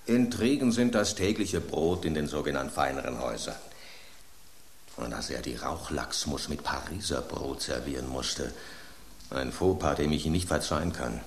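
A cranky loaf of bread in a radio play, gruff and blunt, sneering at anything fancy. The voice sits very low and deep, and the recording has a roomy, echoey sound. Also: male voice, normal speed, slight background noise. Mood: grumbling. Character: grumpy male loaf of house bread, blunt and scornful. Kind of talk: radio drama acting. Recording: roomy studio echo.

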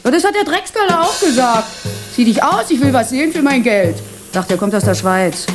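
Brash, sharp-tongued prostitute. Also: female voice, high voice, animated, moderate pace. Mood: bitter, crude. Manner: coarse, scornful, mocking. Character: brash, sharp-tongued East Berlin prostitute, proud and mercenary. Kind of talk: German radio play acting. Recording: studio radio play with music behind.

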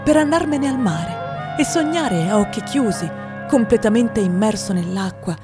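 A woman's voice: a little high, expressive, unhurried, music in the background. An actress playing a wistful, dreamy, overweight young boy who confides his story in a radio drama monologue.

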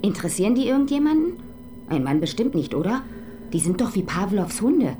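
An actress playing an intense, bitter woman visitor who talks in a sharp, insistent and preachy way. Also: medium pitch, sing-song, a little fast, noisy background, close to an everyday voice. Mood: scornful.

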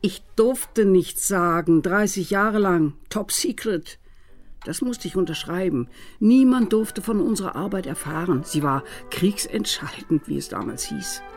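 An old British granny who once worked in secret intelligence. She speaks in a strongly varied melody, hesitant and guarded as she reminisces. Soft music sits underneath, as in a radio drama. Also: on the low side, expressive, measured pace. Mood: evasive. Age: elderly. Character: elderly British grandmother, reticent former wartime intelligence worker. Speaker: former codebreaking-era intelligence worker. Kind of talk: radio drama dialogue and narration.

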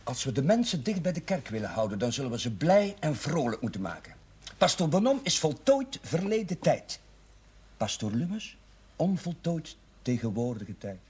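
An actor playing an earnest young chaplain who gently teases his senior, in a 1970s television drama. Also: on the high side, very animated, normal speed, husky, exaggerated voice. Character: earnest young male priest, eager and teasing.

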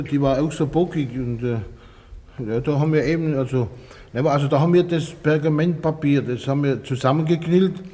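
A man's voice: mid-range voice, warm tone, close to an everyday voice. An actor playing a flustered, servile gang henchman in a radio comedy, stammering and rambling through excuses. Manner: stammering, rambling, repeats himself. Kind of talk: 1950s German radio comedy acting. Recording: reverberant old radio drama recording.

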